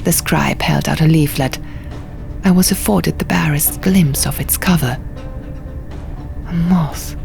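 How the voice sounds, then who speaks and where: A woman narrating a fantasy audiobook in a hushed, measured, dramatic storytelling style. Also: low-pitched, vocal fry. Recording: music behind the voice.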